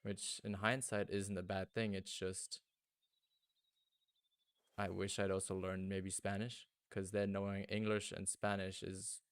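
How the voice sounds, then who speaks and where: Male streamer, German native, deep and flat delivery, casual running chat with viewers. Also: a little slow, clean tone, dry recording, clean studio sound. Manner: thinking aloud, greeting chat viewers. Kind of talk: casual gaming livestream chat.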